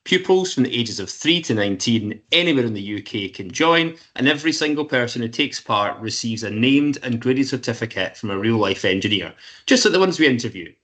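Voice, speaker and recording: A man's voice: mid-range voice, animated, a little fast, a bit bright. Guy hosting a school engineering webinar, upbeat, introduces the guest. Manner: upbeat, enthusiastic presenting. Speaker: host. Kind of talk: scripted introduction for a school audience.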